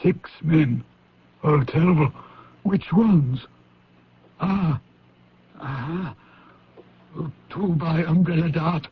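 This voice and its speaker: Dismayed, deferential official; slow, halting, exclaiming. Old radio play, muffled with background noise. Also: male voice, a little high. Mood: shaken, dismayed. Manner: halting, muttering with sighs and exclamations. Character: flustered, dismayed male intelligence official reporting to a superior. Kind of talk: old-time radio drama acting. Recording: dull, noisy old radio recording.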